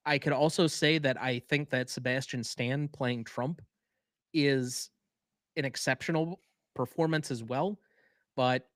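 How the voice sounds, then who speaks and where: A man's voice: mid-range voice, no room sound, studio quality. A man co-hosting a podcast in casual chat, giving opinions in an emphatic way. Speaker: podcast co-host.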